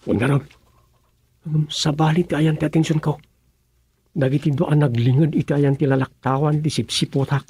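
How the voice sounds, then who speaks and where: A man acting a scheming, excitable chicken thief with a husky voice in a radio comedy. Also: on the high side, normal speed, pauses often. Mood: conspiratorial.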